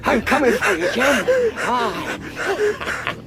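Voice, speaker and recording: A man's voice: extremely high pitch, sing-song, extremely slow, very noisy, exaggerated voice. A man playing a wounded, wily old pirate in a radio drama, taunting and menacing in salty seafaring dialect.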